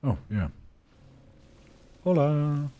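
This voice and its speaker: Slow, musing Swedish-accented man. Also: on the high side, big pitch swings, dark tone, a little hiss or hum. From Sweden. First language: Finnish. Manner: thinking aloud, musing and answering chat. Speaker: game streamer. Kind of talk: casual live-stream chat while gaming.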